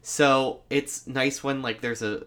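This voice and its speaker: A man co-hosting a podcast who chats in a relaxed, agreeable way with lots of fillers. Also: mid-range voice, slow pace. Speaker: podcast co-host. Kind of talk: casual chat.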